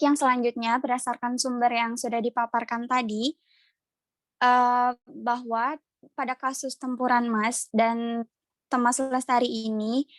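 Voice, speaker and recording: Flat, higher-voiced young woman. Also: no echo. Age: young adult. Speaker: student presenter. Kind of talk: explanatory class presentation.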